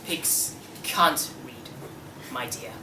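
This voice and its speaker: Young woman doing a mild bitter husband, low voice, mock-polite, far off mic in noisy room. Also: very slow, bright, forceful tone, full character voice. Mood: mild, spiteful. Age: young adult. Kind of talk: table read of a radio play. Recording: echoey room, distant mic, clear noise.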